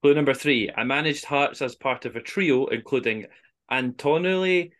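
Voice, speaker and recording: A man's voice: mid-range voice, moderate pace, fairly bright tone. A man with a Scottish accent hosting an informal quiz, reading out clues and scores. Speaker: quiz host on a football podcast.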